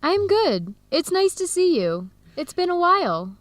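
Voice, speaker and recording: A warm-hearted young student in a radio drama. Her voice is high and gentle, with clear, careful wording for learners. It is recorded very dry. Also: very animated, unhurried, no echo, exaggerated voice. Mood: cheerful. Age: young adult.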